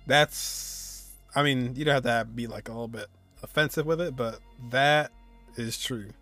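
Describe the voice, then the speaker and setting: A man's voice: mid-range voice, a bit bright, dry recording. A man, giving casual reaction commentary as he streams a video game. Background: game music.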